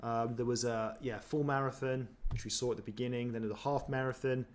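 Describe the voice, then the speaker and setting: A man's voice: mid-range voice, steady tone, brisk. A man chatting casually as he reacts to footage of himself, self-deprecating, with many ums and restarts. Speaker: running vlogger.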